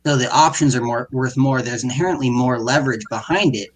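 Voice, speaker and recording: A man's voice: medium pitch, brisk. A man on a live panel talk show who rambles through his points with many restarts. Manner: rambling, full of fillers and restarts.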